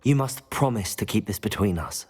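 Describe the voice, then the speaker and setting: A man playing a polite, guarded teenage wizard student in a video game, speaking in a measured, earnest way. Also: on the low side, expressive, a little mellow, husky. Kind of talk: dramatic video game dialogue. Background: music.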